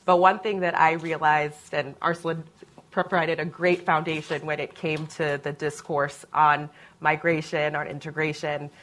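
A woman's voice: low-pitched, level tone, normal speed, fairly bright tone. A woman speaking as a panelist, explaining her views earnestly in a discussion. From Germany, Luxembourg and Michigan.

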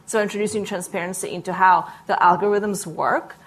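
A woman's voice: mid-range voice, steady tone, a little fast. A woman answering an audience question as a panelist, articulate and engaged, building long structured arguments. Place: conference panel before an audience.

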